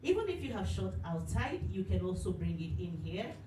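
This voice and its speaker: A woman's voice: fairly low pitch, very animated, normal speed. Woman, event host at a studio workshop, chatty and filler-heavy, distant mic, echoey room. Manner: warm, chatty, many "you know" fillers. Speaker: studio representative. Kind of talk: welcoming speech at a live event. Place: studio event space with an audience. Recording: roomy, distant, noisy live room.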